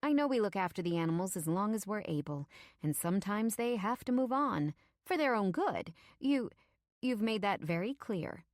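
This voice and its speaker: A woman's voice: mid-range voice, animated, moderate pace, no echo, studio quality, pitched above the performer's own voice, natural voice. A woman voicing an intimidated young female animal keeper in an audiobook, hesitant and placating. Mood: nervously placating. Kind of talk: audiobook character acting.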